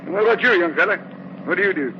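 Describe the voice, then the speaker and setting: A man's voice: sits high, animated, racing. An actor playing a folksy older country man with a drawl in an old-time radio drama. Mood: folksy, friendly. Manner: rustic drawl, chuckling, plain-spoken. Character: folksy old country local who rents out houses. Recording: dull old radio recording, loud noise.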